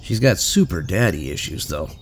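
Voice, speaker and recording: A man's voice: low voice, animated, moderate pace. An actor playing a smug, crude male police detective in an audio drama, casual and dismissive. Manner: casual, cocky, brushing people off.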